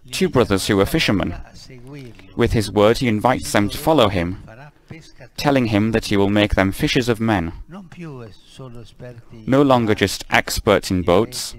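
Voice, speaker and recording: Low-voiced man interpreting a sermon. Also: poor audio. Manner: measured, with frequent stumbles and self-corrections.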